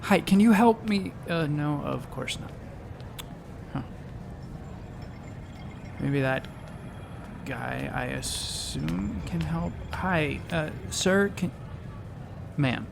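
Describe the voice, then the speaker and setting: Polite flustered space doctor, slightly high creaky voice, slow and awkward, faint noise behind him. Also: male voice, lively intonation, full character voice. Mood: flustered, lost. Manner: hesitant, stammering, awkward. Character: earnest, flustered, polite male doctor new to a space station. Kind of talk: naturalistic audio drama comedy acting.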